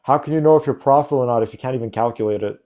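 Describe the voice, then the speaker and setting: A man's voice: medium pitch, very fast, warm tone. A man hosting a livestream, arguing bluntly and matter-of-factly with callers. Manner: blunt, dismissive, matter-of-fact. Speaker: livestream host. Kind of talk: casual debate with callers.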